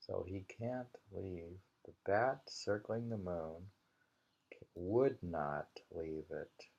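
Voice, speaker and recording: A man reading short poems in an unhurried, halting way, repeating lines slowly and musing on them informally in a dull, lo-fi home recording. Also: low-pitched, gravelly, a little mellow. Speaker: reader of a poetry anthology.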